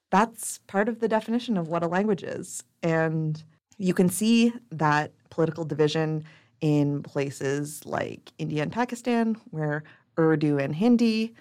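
A woman's voice: lowish voice, a little mellow. A woman co-hosting a podcast, explaining at length in casual chat and restarting sentences as she thinks aloud. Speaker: podcast co-host.

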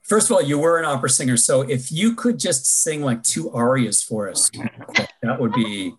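A man's voice: mid-range voice, expressive, normal speed, slight background noise. A middle-aged man co-hosting a radio show, teasing the guest in playful banter. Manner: teasing, jokey. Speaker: radio show co-host, professor.

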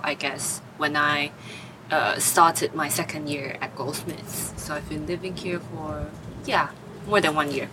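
A woman's voice: sits low, pushed and bright, a little hiss or hum, poor audio. A woman in her twenties from Taiwan, answering interview questions hesitantly with many fillers. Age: 20s. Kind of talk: oral history interview.

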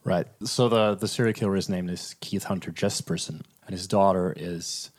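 A man's voice: deep voice, fairly even intonation, normal speed, darkish tone. A man co-hosting a film podcast, talking casually and analytically, often hedging his points.

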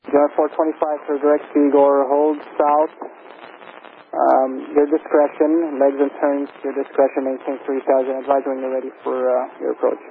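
A man's voice: medium pitch, completely flat delivery, moderate pace, creaky, phone-quality sound. A man working as an air traffic controller, speaking in clipped standard phraseology over an aviation radio.

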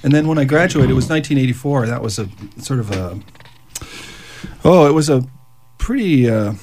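A man's voice: mid-range voice, animated, moderate pace, background noise. Wry middle-aged man from Canada. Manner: wry, easygoing. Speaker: guest activist. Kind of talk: radio interview. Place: radio studio.

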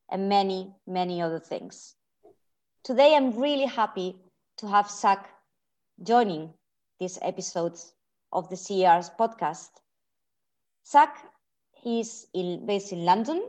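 A woman's voice: mid-range voice, darkish tone. Spanish-accented woman hosting a podcast. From Spain. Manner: friendly, hesitant, with repeated restarts. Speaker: podcast host, research fellow. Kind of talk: podcast interview.

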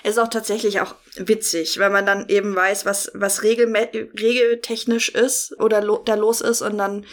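A woman's voice of average pitch in a relaxed interview setting. She is a guest who explains things in informal, colloquial, chatty language. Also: moderate pace, hardly any pauses. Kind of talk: casual interview chat.

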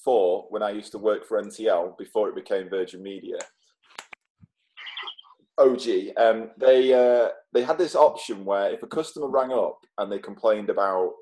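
A man's voice: lowish voice, moderate pace, dark tone, rough recording. A British man in his thirties chatting casually in a rambling, sweary way. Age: 30s. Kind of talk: casual chat.

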